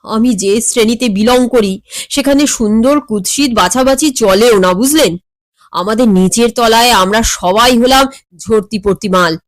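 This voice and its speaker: A woman's voice: a little high, a little slow, no echo. A woman narrating a comic story expressively.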